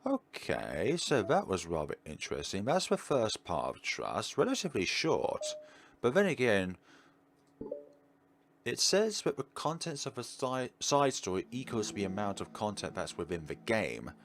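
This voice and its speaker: A man reading a visual novel aloud in an expressive, dramatic way, with casual commentary of his own. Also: on the low side, measured pace, slight background noise. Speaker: game playthrough narrator.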